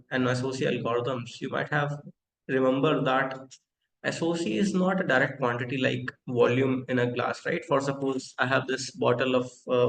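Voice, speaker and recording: A man in his thirties teaches a technical webinar over a computer mic. His voice has a gravelly edge and sits at a middle pitch. The room sounds echoey, with faint noise behind him. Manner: interactive, asks the audience questions, many fillers. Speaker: webinar host and company founder. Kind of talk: live online technical lecture.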